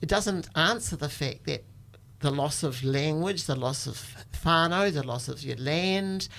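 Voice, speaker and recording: Older woman, New Zealand accent, creaky voice, informal reflective storytelling, conversational. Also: low voice, unhurried, a bit bright. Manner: informal, reflective, often says "you know". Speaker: storytelling guest, activist.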